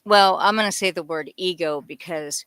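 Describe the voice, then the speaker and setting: A woman hosting a radio interview, chatty and quick to laugh, asking long rambling questions. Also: lowish voice, fairly bright tone, clear, resonant tone, no room sound. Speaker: radio show host. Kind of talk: casual interview chat.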